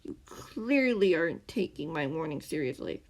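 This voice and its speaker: A woman doing a snapping, gruff male captor. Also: low voice, sing-song, normal speed, heavily acted. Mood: angry. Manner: snapping, growling and loud.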